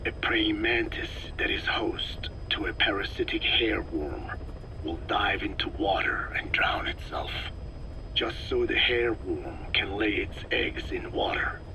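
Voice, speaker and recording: An actor playing an elderly, erudite male scientist in a video game, speaking in a breathy rasp with slow, deliberate, grave explanations. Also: fairly low pitch, sharp tone, in a whisper, low-quality recording. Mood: somber, explanatory. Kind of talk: video game audio drama acting.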